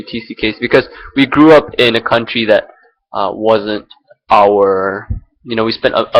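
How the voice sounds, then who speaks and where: A man's voice: on the low side, a bit bright, low-quality recording. Young man, from Singapore, rambling unscripted musings, hesitant with fillers, laptop mic. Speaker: vlogger. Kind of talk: unscripted personal reflection. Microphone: laptop built-in mic.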